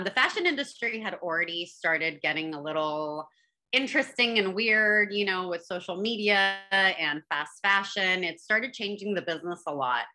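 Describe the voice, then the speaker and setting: A woman, talking casually as an interview guest about her business, with lots of fillers and restarts. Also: fairly low pitch. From born in Afghanistan, raised in Northern California. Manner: relaxed, chatty, many fillers and restarts. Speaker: guest, candle brand founder.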